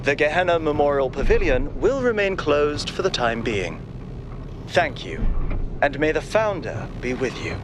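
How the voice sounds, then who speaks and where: Overworked robot civil servant, video game, lively intonation, measured and disapproving, rumbling noise behind. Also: male voice. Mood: formal announcing. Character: cautious, overworked male android bureaucrat, chief aide.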